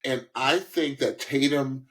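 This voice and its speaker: Guy doing casual music critique on a podcast, medium pitch, kinda halting, opinionated. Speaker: podcast co-host, singing critic. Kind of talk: casual music reaction commentary.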